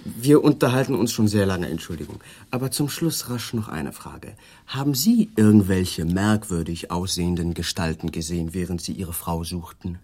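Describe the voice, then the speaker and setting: An actor playing a shrewd, persistent male police detective in a radio drama, asking calm, politely needling questions. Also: low voice, normal speed, hardly any pauses, husky. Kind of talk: 1980s German radio drama acting.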